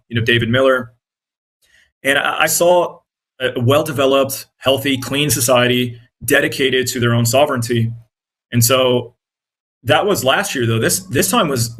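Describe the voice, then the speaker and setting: A man's voice: medium pitch, fairly bright tone, breathy edge, poor audio. A man with an American accent answering interview questions conversationally, explaining things in an earnest way. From born in Iraq, grew up in the United States. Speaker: interview guest, independent journalist and content creator.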